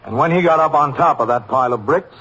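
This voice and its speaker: An American actor playing a stern, skeptical middle-aged police captain in a 1950s radio drama, speaking in a deliberate, matter-of-fact way. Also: male voice, a little high, fairly bright tone, natural voice. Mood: probing. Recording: noisy old radio drama recording.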